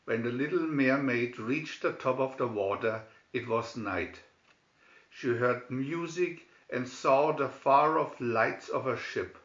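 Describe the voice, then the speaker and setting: Slow-reading man in a roomy space. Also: mid-range voice, a bit bright, lo-fi sound. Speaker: storybook reader. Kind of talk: scripted fairy tale narration.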